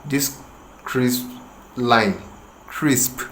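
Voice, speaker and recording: Slow Nigerian man explaining tailoring. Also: medium pitch, fairly bright tone. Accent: Nigerian English, Yoruba. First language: Yoruba. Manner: slow, hesitant, explaining with pauses and restarts. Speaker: tailoring instructor. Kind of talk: tutorial demonstration. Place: room with a patterned rug, working on the floor. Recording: echoey room, faint ambient noise.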